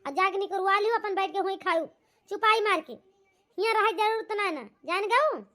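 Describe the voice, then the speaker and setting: A woman voicing a harsh, scolding, ungrateful grown daughter in an amateur cartoon, with an Awadhi accent, a bossy manner and a pitched-up voice. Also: moderate pace. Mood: scolding, dismissive. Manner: sharp, bossy, contemptuous. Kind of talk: amateur cartoon comedy-drama acting.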